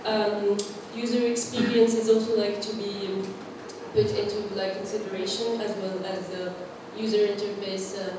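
A woman's voice: mid-range voice, little pitch movement, moderate pace, few pauses, noisy background. A woman at a workshop, reporting her group's ideas with many fillers and restarts, in a large conference room. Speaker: workshop participant. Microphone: far room mic. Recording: echoey hall, far from the mic.

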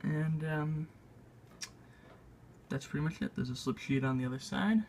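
Gravelly-voiced man, halting tutorial. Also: a little high, a little mellow, a little hiss or hum. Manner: halting, unscripted, thinking aloud. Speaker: video maker demonstrating a repair. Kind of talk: casual hands-on tutorial narration. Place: indoors at a tabletop.

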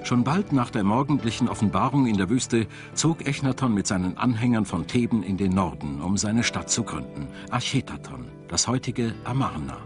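Guy doing a scripted documentary voiceover, lowish voice, music clearly behind him. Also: lively intonation, normal speed, hardly any pauses. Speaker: documentary presenter and narrator.

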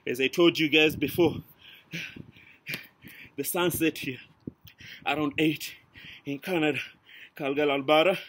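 A man's voice: on the high side, steady tone, measured pace, long pauses, fairly bright tone, slight background noise. A man in his thirties from Zanzibar with a Tanzanian accent, chatting to a phone camera in his backyard as a fitness vlogger. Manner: short counted cues between breaths during exercise. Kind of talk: workout coaching and chat to camera. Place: backyard outdoors. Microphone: camera mic, phone up close for the talk.

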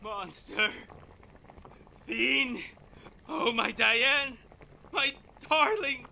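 A man's voice: very high-pitched, extremely slow, gravelly, sharp tone, exaggerated voice, low-quality recording. A man acting a distraught, tearful, vengeful lover in melodramatic retro radio horror. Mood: grief-stricken. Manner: melodramatic, impassioned. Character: anguished, vengeful man grieving his beloved.

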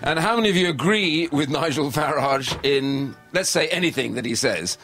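A man hosting a radio show, greeting a packed studio with brisk, repetitive questions and chuckling asides. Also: a little high, expressive, a bit bright, faint music behind. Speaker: radio host. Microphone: studio microphone with headphones.

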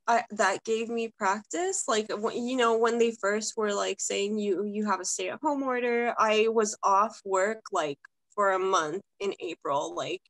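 A woman's voice: medium pitch, gravelly, a bit bright, no echo, rough recording. A young woman from Russia, a guest talking casually over a video call from home, with many filler words. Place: home room, indoors.

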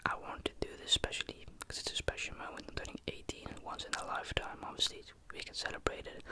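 A teenage man, whispering a rambling, casual chat into a close studio mic in a small bedroom. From Netherlands. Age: teens. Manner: casual, rambling, with many fillers. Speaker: ASMR YouTuber. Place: small bedroom with a sloped ceiling. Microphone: large studio mic close on the desk.

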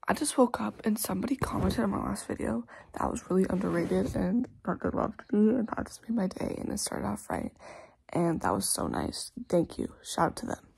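A teenage girl filming a casual vlog on her phone, talking to viewers in a hushed morning voice and later out in the snow. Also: mid-range voice, a little fast, vocal fry, slightly rough, no room sound. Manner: whispery early-morning talk, bubbly and excited outdoors. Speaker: vlogger. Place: at home, then outdoors in snow. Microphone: handheld phone.

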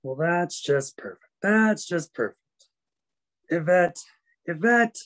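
A man's voice: sits high, lively intonation, slow pace, a bit bright, heavily acted. A man playing an exasperated theater director. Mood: impatient. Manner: curt, sighing, short-tempered. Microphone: webcam.

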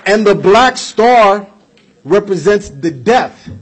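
A young man raised in the United States delivers a passionate political speech into a podium microphone. His voice sits high and carries a husky edge. He leans on repetition and rhetorical questions to press his argument. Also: expressive, takes it slowly, some chatter behind. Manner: emphatic, repeats points for effect, gestures while speaking. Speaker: speaker at a community event. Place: indoor hall with flags behind. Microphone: podium microphone on a stand.

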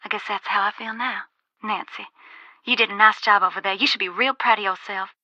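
An actress playing a folksy, wistful ghost-hunting author from a video game, with a twangy Georgia accent. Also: female voice, medium pitch, steady tone, brisk, bright, forceful tone, no room sound, lo-fi sound. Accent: Southern US, Georgia. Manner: folksy, storytelling. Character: wistful Southern woman ghost hunter and author.

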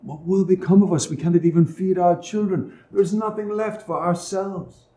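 A man's voice: high voice, steady tone, normal speed, dark tone, reverberant, pitched above the performer's own voice, close to an everyday voice. A man voicing a poor, anxious woodcutter father in a storybook reading, with a pleading, strained delivery.

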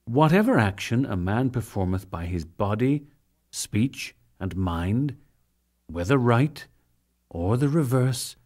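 A man narrating a sacred text as a dramatic, formal reading, solemn and measured in his declamation. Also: mid-range voice, a little mellow.

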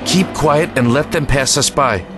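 A man's voice: medium pitch, a little slow, music in the background, close to an everyday voice. A man playing a firm, protective army officer in a war audio drama, giving clipped orders. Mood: firm command.

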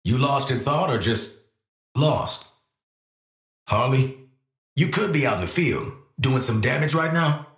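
A man's voice: mid-range voice, lively intonation, unhurried, pauses often, fairly bright tone, echoey room. An American man voicing a cocky, world-weary assassin in a video game, with casual, slangy, wry delivery. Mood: cocky banter. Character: cocky, world-weary male assassin, gruff team player. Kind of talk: video game character voice lines.

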